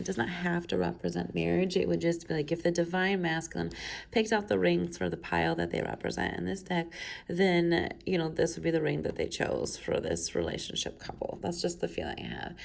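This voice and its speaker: Woman, stuffed up from a cold, gravelly fry, chatty tarot reader, rambling. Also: lowish voice. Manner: chatty, rambling asides with many fillers. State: has a cold, stuffed up and coughing. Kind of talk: casual pick-a-pile tarot reading to camera. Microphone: camera mic.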